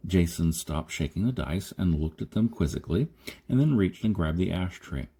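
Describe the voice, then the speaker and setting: A man's voice: deep voice, expressive, moderate pace, mellow, slightly rough. A man reading a science fiction story aloud as scripted audiobook narration, now and then stumbling over a phrase. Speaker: audiobook reader. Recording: noticeable background noise.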